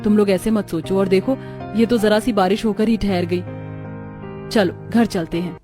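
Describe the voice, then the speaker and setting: A woman's voice: mid-range voice, fairly even intonation, normal speed, lightly acted. Casting: woman to play homely, caring Indian in-law for cartoon family drama, middle register, light music bed. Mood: reassuring. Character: warm, family-minded young Indian daughters-in-law and older women in-laws. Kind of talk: Hindi cartoon story dialogue.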